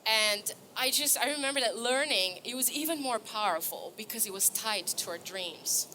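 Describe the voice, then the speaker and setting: A woman's voice: fairly high pitch, animated, moderate pace, pushed and bright, slight background noise, rough recording. A young woman from Bulgaria giving an upbeat stage talk at a conference, earnest, laughing often, with many fillers and restarts. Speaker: conference speaker. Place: conference stage before an audience.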